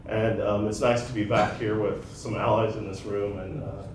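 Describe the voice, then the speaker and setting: Hesitant male panelist, mid-pitched, a bit distant from the mic in a roomy hall. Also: flat delivery. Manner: halting, hesitant, frequent ums. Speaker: panelist writer. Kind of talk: panel discussion. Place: event room in a library. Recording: echoey, off-mic, faint noise.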